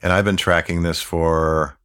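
Very deep-voiced podcast host. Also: male voice, smooth. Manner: calm, measured, with many fillers and drawn-out phrases. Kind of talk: reflective podcast chat.

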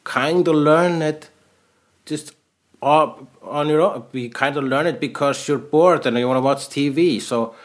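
A man from Iceland with an Icelandic accent, chatting casually in a dry, deadpan way with many halting fillers. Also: a little high. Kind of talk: casual chat.

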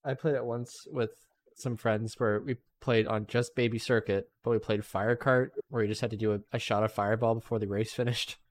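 A man's voice: on the low side, fairly even intonation, fairly quick, no room sound. A young man co-hosting a podcast, telling laid-back anecdotes with chuckles in casual chat. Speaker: podcast co-host.

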